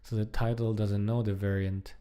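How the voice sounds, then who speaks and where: A man giving casual live-coding commentary, thinking aloud in a halting, muttering way as he works. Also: fairly low pitch, little pitch movement, warm tone. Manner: halting, muttering to himself while working. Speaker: programmer live-streaming a coding project.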